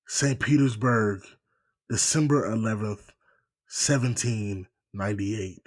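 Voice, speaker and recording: A man's voice: on the low side, lightly acted. An actor playing a hopeful male explorer, reading out a letter to his sister in an earnest, formal, rhapsodic way for an audio drama. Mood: earnest, pleased. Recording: faint background ambience noise. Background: faint ambience.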